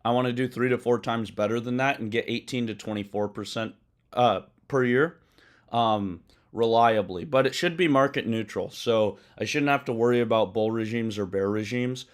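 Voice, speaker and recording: A man hosting a live coding stream, talking loosely with many ums and uhs and correcting himself as he goes, his voice a bit hoarse. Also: mid-range voice, normal speed. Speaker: livestream host coding a trading tool. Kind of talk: casual live-stream explanation.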